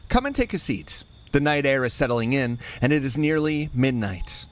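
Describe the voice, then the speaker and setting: A man reading a scripted, spooky campfire-style introduction as host, in a measured and dramatic storytelling manner. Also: mid-range voice, big pitch swings.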